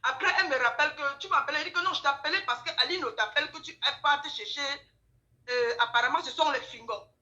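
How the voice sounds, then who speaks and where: A woman telling gossip in a casual chat, animated and repeating phrases for emphasis. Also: high voice, fast talker, muffled recording, soft background music. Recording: echoey room.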